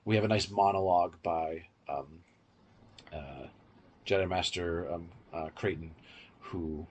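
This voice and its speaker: A man's voice: sits low, a little slow, darkish tone, dull audio, slight background noise. A man hosting a podcast, giving an explanatory, opinionated review in casual discussion. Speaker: podcast host.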